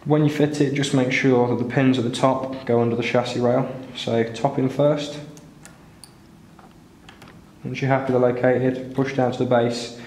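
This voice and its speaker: Man, flat intonation, plain instructional tutorial narration, step by step, roomy echo. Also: medium pitch, moderate pace. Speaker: repair tutorial presenter. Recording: roomy echo, clear background noise, clicks.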